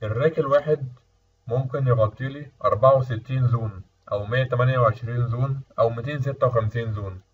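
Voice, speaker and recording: Egyptian guy teaching tech stuff, kinda husky, talks quick, asks himself questions then answers. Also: mid-range voice. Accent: Egyptian Arabic. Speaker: instructor. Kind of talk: explanatory technical lecture over slides. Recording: muffled, distant mic, dull sound.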